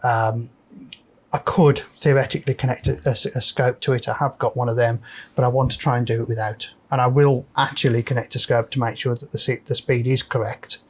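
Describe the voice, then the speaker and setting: A man's voice: medium pitch, steady tone, fairly quick, husky. A man hosting a casual, unscripted repair walkthrough, thinking aloud hesitantly as he works. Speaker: host of a repair video.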